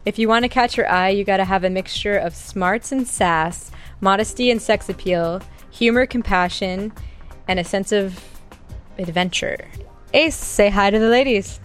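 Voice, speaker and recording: A woman's voice: mid-range voice, normal speed, soft background music. Woman host reading dating-game bios. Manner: reads contestant bios smoothly. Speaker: podcast host. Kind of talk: scripted dating-game introductions.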